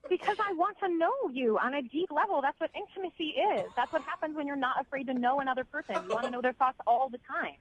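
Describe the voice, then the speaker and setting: A woman's voice: high-pitched, fast, few pauses, a crowd in the background. A young woman calling a radio show by phone, arguing emotionally in an intense, insistent, pleading way. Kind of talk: emotional argument. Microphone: telephone. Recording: dull, band-limited phone line.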